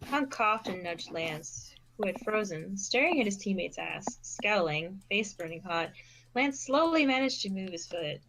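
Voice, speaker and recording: A woman's voice: medium pitch, expressive, unhurried, fairly bright tone, a little hiss or hum, poor audio. A woman giving scripted narration as the narrator of an amateur fan fiction reading.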